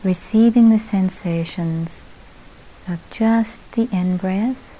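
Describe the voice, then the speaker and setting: A woman meditation teacher leading a guided meditation at a retreat, speaking calmly in short phrases with long pauses. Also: medium pitch, poor audio. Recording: steady background hiss.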